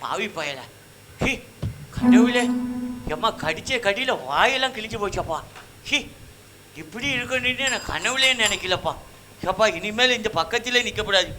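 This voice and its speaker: A man's voice: high-pitched, expressive, normal speed, pauses often, background noise. A man with a southern Tamil Nadu accent voicing a wailing, grumbling comic rustic clown in a folk shadow puppet show. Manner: exclamatory, repetitive lamenting.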